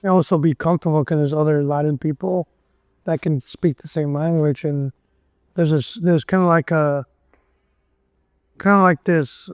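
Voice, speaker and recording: A man's voice: fairly high pitch, steady tone, normal speed, long pauses, clean tone, no room sound. A man in his thirties from Miami, talking loosely as an interview guest in a café, full of "you know"s. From Miami, USA. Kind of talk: casual interview.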